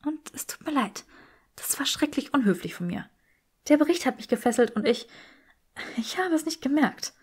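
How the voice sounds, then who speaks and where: A woman voicing an earnest, sharp-witted, bookish young woman in an audiobook, prim and mock-serious. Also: sits high, expressive, moderate pace, voice pushed up. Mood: apologetic.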